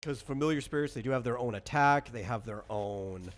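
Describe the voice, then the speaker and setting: A man with an average-pitched voice talks as a game master would, casually walking a player through tabletop rules. His explanations are patient and ramble a little, moving step by step through the details. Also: a little fast. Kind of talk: casual explanatory chat during character creation.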